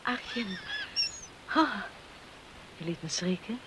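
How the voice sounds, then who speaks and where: An actress playing a guarded young grown daughter in a radio play, on a noisy old recording. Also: female voice, medium pitch, expressive, slow pace, fairly bright tone. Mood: guarded, flustered. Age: young adult.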